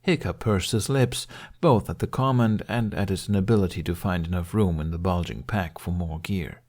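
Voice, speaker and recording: A man's voice: sits low, moderate pace, a little mellow. A man reading scripted story narration as an audiobook narrator.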